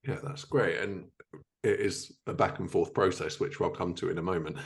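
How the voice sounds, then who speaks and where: A man's voice: sits low, moderate pace. A man hosting a podcast, asking questions in a casual, chatty interview style.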